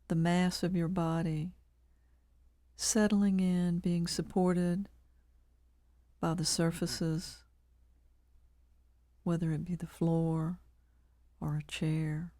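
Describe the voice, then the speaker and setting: Female meditation guide, lowish pitch, unhurried delivery in short phrases with long pauses, calm and measured, dry close sound. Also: dry recording. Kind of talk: guided body scan meditation.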